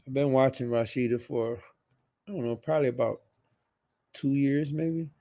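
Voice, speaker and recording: A man's voice: mid-range voice, moderate pace, warm tone. A man hosting a live stream, chatting casually and informally with his viewers, with trailing asides. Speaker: live stream show host.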